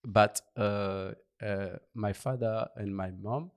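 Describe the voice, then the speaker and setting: A man from Ivory Coast with an Ivorian French accent, a native Bambara speaker, answering interview questions in halting English and searching for words. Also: lowish voice, fairly even intonation, clear, resonant tone. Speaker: interview guest. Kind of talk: conversational interview.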